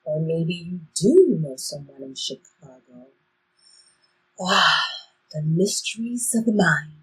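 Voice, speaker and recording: A woman acting a very husky, wry guide. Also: lowish voice, sing-song, slow pace, fairly bright tone, heavily acted, low-quality recording. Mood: musing, teasing. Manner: wry, instructive. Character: cautious, wry adult time-traveling guide. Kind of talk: stage play reading.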